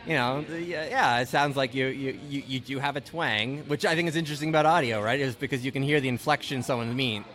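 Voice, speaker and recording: A man's voice: medium pitch, fast, hardly any pauses, fairly bright tone, soft background music. A man from Ontario hosting a podcast, chatting casually and rambling with lots of restarts and "right?" tags. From Ontario, Canada. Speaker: podcast host. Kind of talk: casual conversational interview.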